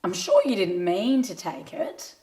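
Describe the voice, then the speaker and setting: A woman's voice: mid-range voice, huge pitch swings, a little slow, mellow, reverberant. A woman voicing a caring mother in a children's storybook, speaking to her small boy in a soothing, forgiving way. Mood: reassuring.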